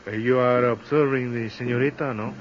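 An actor playing an earnest Mexican man in a 1950s radio drama, speaking halting, broken English with a Spanish accent and Spanish words. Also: medium pitch, fairly even intonation, natural voice. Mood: musing. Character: earnest Mexican man assisting at a plane crash investigation. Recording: dull, noisy 1950s radio recording.

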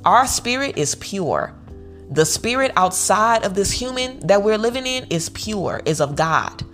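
A woman's voice: mid-range voice, lively intonation, measured pace, a bit bright, soft background music. An American woman giving an informal motivational pep talk, speaking bluntly and emphatically, repeating phrases to drive them home. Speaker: online community host giving self-worth advice.

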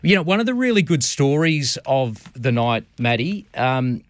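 A man's voice: medium pitch, big pitch swings, moderate pace, no room sound. An Australian man from Queensland previewing harness races as a studio guest, enthusiastic and full of restarts. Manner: enthusiastic, many restarts and fillers. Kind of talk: radio studio interview, race previews. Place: radio studio.

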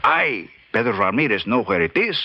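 Radio play casting: greedy, superstitious Central American guide, Spanish accent, animated intonation, wheedling delivery, narrow old broadcast sound. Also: male voice, on the low side, heavily acted. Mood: sly, ingratiating. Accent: Spanish-accented English. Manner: halting, ingratiating, haggling with broken English. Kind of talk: old-time radio drama acting. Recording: band-limited old radio recording, faint noise.

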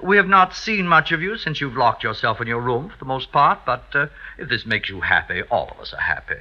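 A man's voice: medium pitch, very animated, fairly quick. An actor playing a fawning, scheming male servant in a 1950s radio drama, obsequious, hesitant and theatrical. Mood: oily, mock sympathetic. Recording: dull vintage radio recording.

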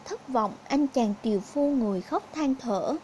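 A woman's voice: a little high, lively intonation, measured pace, mellow, a little hiss or hum. A woman narrating scripted fairy tales for young children as a storyteller.

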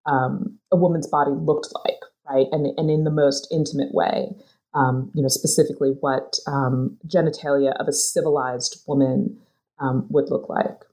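A woman's voice: low voice, fairly even intonation, normal speed, dark tone, low-quality recording. A woman author discussing her research in a thoughtful, hesitant way, with many fillers and restarts. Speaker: author being interviewed. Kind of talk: academic conversation.

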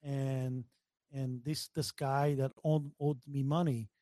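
A man chatting casually, telling stories haltingly and repeating words for emphasis. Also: mid-range voice, little pitch movement, dark tone, dry recording, clean studio sound. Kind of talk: casual storytelling chat.

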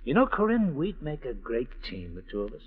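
An actor playing a smooth, cocky schemer with an insinuating, wisecracking manner in an old-time radio drama. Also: male voice, medium pitch, huge pitch swings, fairly quick. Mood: smug, insinuating. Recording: tinny, narrow-band vintage radio with music.

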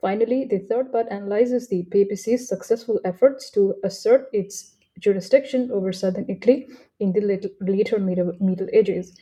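A woman's voice: mid-range voice, normal speed, warm tone, rough recording. A woman hosting a podcast and reading out a guest introduction, with small slips and restarts. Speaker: podcast host.

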